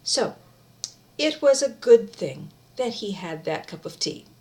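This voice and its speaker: A woman's voice: medium pitch, big pitch swings, a little slow, a little mellow. A middle-aged woman speaking informally, as someone sharing and reading a favorite book aloud in an amateur video. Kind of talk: casual chat and amateur read-aloud of a book.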